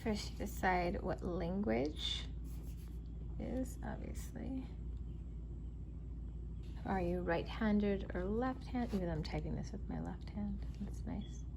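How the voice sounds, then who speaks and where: Raspy-voiced woman vlogger, chatty. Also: medium pitch, takes it slowly, pauses often, noisy background. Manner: chatty, thinks aloud, murmurs while trying features. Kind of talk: casual product demo.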